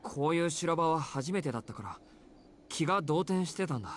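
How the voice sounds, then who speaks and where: Man acting a rapid-fire exasperated teenage boy. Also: fairly high pitch, lively intonation, a little hiss or hum, lightly acted. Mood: frank, calmer. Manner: blunt, scolding, exasperated. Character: exasperated, sensible teenage boy, blunt but considerate. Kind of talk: Japanese visual novel voice acting.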